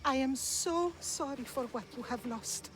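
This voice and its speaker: Game role: remorseful old oracle, high voice, begging and apologetic. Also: female voice, unhurried, fairly bright tone, slight background noise. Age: older. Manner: pleading, contrite. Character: remorseful elderly grandmother, a fearful disgraced oracle. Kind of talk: video game cutscene acting.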